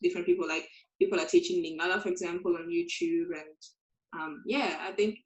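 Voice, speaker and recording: A woman's voice: lowish voice, fairly quick, echoey room, dull audio. A woman from Congo, a guest language teacher, talking casually on a video call, hesitant and often repeating her words.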